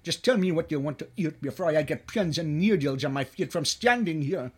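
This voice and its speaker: A man's voice: a little high, expressive, a little fast, slightly rough. A man playing a surly, short-tempered waiter in an adult comedy sketch, sarcastic and insolent. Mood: sarcastic, impatient. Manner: rude, sarcastic.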